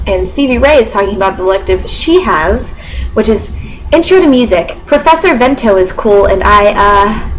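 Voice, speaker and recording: Young woman, casual book reviewer with a mostly neutral Midwestern accent, sarcastic and rambling, mid-pitched voice, slight noise in the recording. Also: low-quality recording. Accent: mostly neutral American, sometimes Southern. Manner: sarcastic, laughs often, many fillers.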